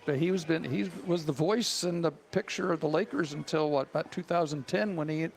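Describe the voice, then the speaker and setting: A man's voice: a little high, brisk, creaky, darkish tone. A middle-aged man with an American accent co-hosting a sports show in casual, conversational chat.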